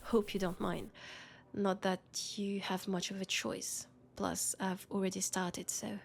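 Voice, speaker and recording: A woman with a French accent playing a worried, exasperated female police colleague and roommate in an intimate sci-fi audio roleplay, speaking softly and hesitantly. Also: medium pitch, little pitch movement, measured pace, gravelly, a little hiss or hum. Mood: matter-of-fact, coaxing.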